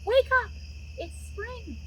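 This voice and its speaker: A woman voicing an eager, cheery male frog in a storybook, calling out excitedly and insistently to coax a friend. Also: extremely high pitch, takes it slowly, distant mic, a little hiss or hum, pitched above the performer's own voice, full character voice, low-quality recording.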